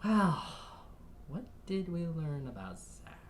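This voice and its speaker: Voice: medium pitch, very animated, slow, slight background noise. A reaction video host giving casual commentary in an exclamatory, emotional way with mild substitute swearing.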